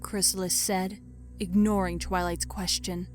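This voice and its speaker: A woman's voice: fairly low pitch, flat delivery, a little slow. A woman narrating a dramatic story from a script. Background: music.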